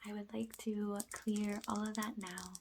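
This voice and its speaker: A woman speaking in a hushed, often whispered way, guiding a spiritual ASMR energy cleansing as a healer. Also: medium pitch, little pitch movement, measured pace, creaky, slight background noise.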